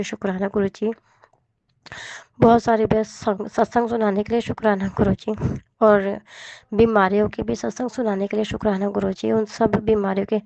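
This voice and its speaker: Hoarse woman w a cold, mellow kinda dark voice, telling her faith story, thanks again n again, dull dry sound. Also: mid-range voice, dry recording. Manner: earnest, grateful, repeats thanks over and over. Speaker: devotee sharing a testimony. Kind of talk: devotional testimony.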